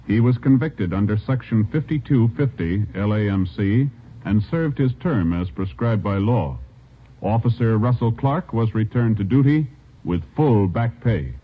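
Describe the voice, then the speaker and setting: A man's voice: fairly low pitch, expressive, measured pace. An American man reading formal, matter-of-fact narration for a mid-century radio crime drama. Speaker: radio announcer. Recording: thin, narrow old radio broadcast, loud music.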